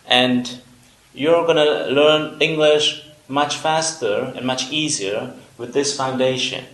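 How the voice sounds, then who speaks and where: A man from Vietnam with a Vietnamese accent, a native Vietnamese speaker, teaching an English lesson in a deliberate, explanatory way with pauses between phrases. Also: medium pitch, a bit bright. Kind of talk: instructional video lesson. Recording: roomy, echoing room sound.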